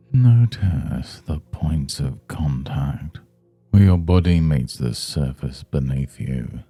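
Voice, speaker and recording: Man, meditation guide, extremely dark mellow tone, creaky low fry, soothing and unhurried delivery. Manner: soothing, measured, hushed instruction. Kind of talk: guided Yoga Nidra meditation.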